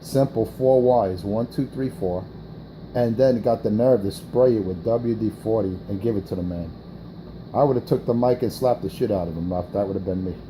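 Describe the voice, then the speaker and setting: Give me a profane, taunting man with a low voice over hissing static. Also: normal speed, mellow, poor audio. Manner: brash, taunting, profane, repeats himself. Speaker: CB radio operator hosting an on-air morning show. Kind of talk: crude trash-talking radio banter.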